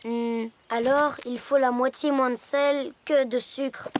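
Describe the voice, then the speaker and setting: Tony, a timid young hippo. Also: female voice, sits high, steady tone, heavy background noise. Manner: hesitant, gives up quickly. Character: cautious young hippo boy, easily discouraged. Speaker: voice actor. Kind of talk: cartoon voice acting. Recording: studio voice with loud cartoon music.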